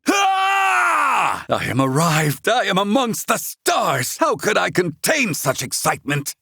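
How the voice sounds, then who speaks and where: An actor playing a proud, gruff, excitable older scholar-inventor in a video game, speaking in formal, archaic, theatrical phrasing. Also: male voice, high-pitched, slow, no echo, clean studio sound, exaggerated voice. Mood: giddy excitement. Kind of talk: video game character acting.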